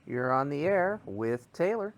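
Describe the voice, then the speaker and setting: A deadpan call-in radio host greets each caller with short, patient replies, played by a man. His pitch moves around freely. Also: unhurried, slight background noise.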